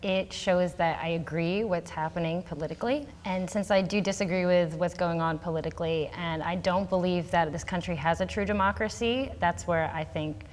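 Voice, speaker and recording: A woman's voice: lowish voice, moderate pace, few pauses, a little hiss or hum. A woman in her twenties from Pennsylvania, speaking thoughtfully and slightly hesitantly in a group discussion. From Pennsylvania, USA. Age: 20s. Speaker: software company worker, discussion participant. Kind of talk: debate discussion. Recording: roomy hall reverb, faint ambience.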